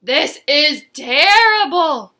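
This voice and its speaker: A woman voicing an excitable, vain and daring young male snake in a storybook, with dramatic exclamations. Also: high voice, extremely slow, pitched above the performer's own voice, exaggerated voice. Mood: dismayed.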